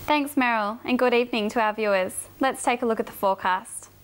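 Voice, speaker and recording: A woman's voice: on the high side, a little fast, clean tone. An Australian woman presenting a scripted television weather forecast.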